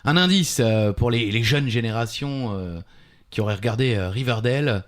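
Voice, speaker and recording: A man hosting a quiz podcast with playful banter and trivia in a jokey, animated way. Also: mid-range voice, moderate pace.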